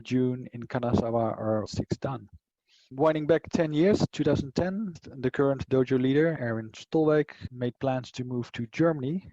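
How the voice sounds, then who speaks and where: Dutch-accented man in his thirties, interview guest, warm dark tone, dry lo-fi sound. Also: medium pitch, dry recording. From Netherlands. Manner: thoughtful, with frequent fillers and restarts. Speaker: interview guest, martial arts teacher. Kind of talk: casual conversation.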